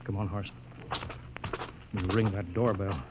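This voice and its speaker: A man's voice: lowish voice, little pitch movement, unhurried, lightly acted. A man playing a stern, rational spaceship captain in 1950s radio drama style, clipped and authoritative. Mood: brisk, commanding. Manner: clipped, authoritative, barking orders. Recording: noisy old-time radio recording.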